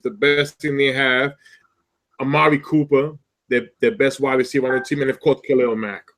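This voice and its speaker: A man's voice: medium pitch, moderate pace. A man co-hosting a sports talk show, joking and stammering through casual banter. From half Spanish, Puerto Rican. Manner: animated, stammering, jokey. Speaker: podcast co-host.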